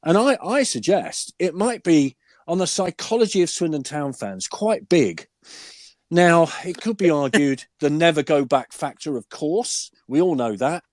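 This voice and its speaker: Older hoarse man, enthusiastic football chat. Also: a little high, animated, unhurried, darkish tone, no room sound, clean studio sound. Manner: chatty, enthusiastic, rhetorical questions. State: hoarse, with a cold-deepened voice. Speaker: guest pundit and broadcaster. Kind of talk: casual podcast chat.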